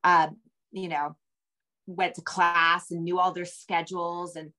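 Lower-pitched American woman, casual author guest. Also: little pitch movement, unhurried, pushed and bright. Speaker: novelist and screenwriter guest. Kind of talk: casual conversational explaining. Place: room with bookshelves, indoors. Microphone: video call.

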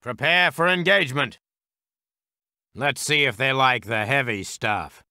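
An actor playing a gung-ho American World War Two heavy weapons soldier in a strategy game, with a clipped military delivery. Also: male voice, mid-range voice, expressive, a little slow, pushed and bright, no echo, clean studio sound, heavily acted. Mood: confident, brisk.

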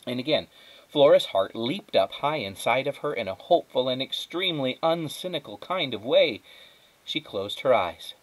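A man's voice: mid-range voice, expressive, normal speed, poor audio. A man reading a children's storybook aloud as a teacher, lightly acting out the dialogue.

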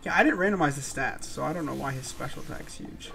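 A man's voice: medium pitch, expressive, fast, creaky. A young man with a stuffy voice and a sore throat, chatting casually and muttering in frustration as he plays through a game. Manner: laid-back, swearing, frustrated muttering. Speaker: gaming channel host. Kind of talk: casual let's-play commentary. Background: game music.

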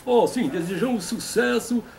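Man doing a high-voiced boastful old grandpa puppet. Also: moderate pace, creaky, darkish tone, slight background noise. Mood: emphatic. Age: older. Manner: pompous, folksy, repeats a stock affirmation. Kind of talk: comic puppet interview.

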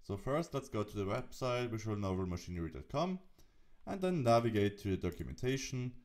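A man narrating an instructional software tutorial, explaining steps as the presenter. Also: fairly low pitch, sing-song, brisk.

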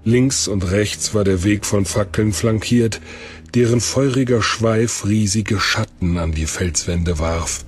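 Low-pitched male narrator. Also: takes it slowly, faint music behind. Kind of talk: scripted audio drama narration.

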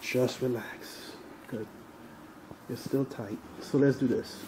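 Male chiropractor, reassuring and casual, talking a patient through treatment, medium pitch, recorded in a noisy room. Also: fairly even intonation, slow pace. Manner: reassuring, coaxing. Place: treatment studio with hard floor, indoors.

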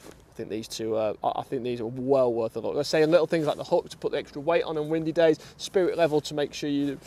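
A man's voice: fairly high pitch, fairly even intonation, quick pace, mellow. A middle-aged British man chatting enthusiastically about fishing tackle outdoors on a lake bank, often repeating words as he starts a sentence. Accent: British English. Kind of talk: casual chat.

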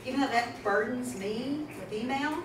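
A woman's voice: a little high, moderate pace. Woman presenting in an echoey room. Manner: animated, emphatic, chatty with asides. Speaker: conference presenter. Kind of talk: conference talk with audience questions. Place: conference room, audience seated. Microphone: far camera in the audience. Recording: echoey room from far off, noisy.

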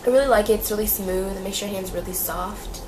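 A woman's voice: medium pitch, quick pace, background noise. Chatty young woman, slightly nasal. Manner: chatty, lots of fillers and repeats. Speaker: beauty vlogger. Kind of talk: casual product review.

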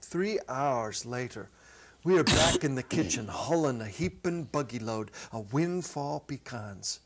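A middle-aged man narrating a literary short story as a dramatic reading, with expressive, measured storytelling. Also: medium pitch. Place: indoor studio set. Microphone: lapel.

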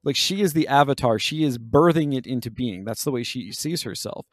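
A man's voice: mid-range voice, expressive, a little fast, dry recording, clean studio sound. A man co-hosting a podcast, talking analytically and searching for his words with frequent self-corrections. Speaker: podcast co-host. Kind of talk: analytical discussion of a video game.

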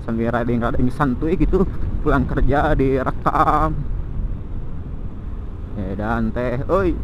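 A young man rambles in loose, slangy banter from the saddle of a motorbike. His voice sits a little high for a man. He talks into a helmet-mounted camera outdoors, with steady road and engine noise rushing behind him. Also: muffled recording. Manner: rambling slangy banter, nervous first vlog. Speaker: motovlogger. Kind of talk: casual chat while riding. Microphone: helmet-mounted action camera. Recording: loud road noise from riding. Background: traffic and vehicle noise.

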